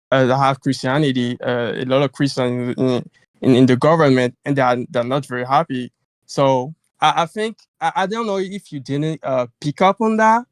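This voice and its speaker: A man with a French accent, a native French speaker, calling in with a halting, apologetic question full of fillers. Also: mid-range voice, no room sound. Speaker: caller. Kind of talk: casual call-in question.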